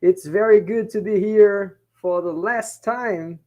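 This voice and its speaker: A Brazilian professor with a Brazilian Portuguese accent, teaching a class in English, often checking in with "okay?" and repeating points in Portuguese. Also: male voice, high voice, slow, a little mellow. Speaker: university professor. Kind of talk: bilingual class lecture.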